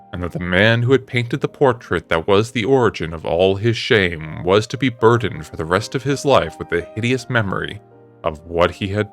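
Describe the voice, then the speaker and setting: A man reading a classic novel aloud as dramatic narration, measured, deliberate and grave. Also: fairly low pitch, a bit bright. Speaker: audiobook reader.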